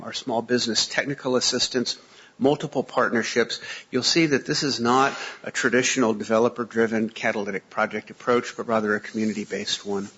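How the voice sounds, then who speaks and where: A man with a slightly hoarse, husky voice giving a formal presentation to a commission, listing points methodically with frequent "uh" fillers. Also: medium pitch, a bit bright, muffled recording. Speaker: city agency staff presenter. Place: public meeting room.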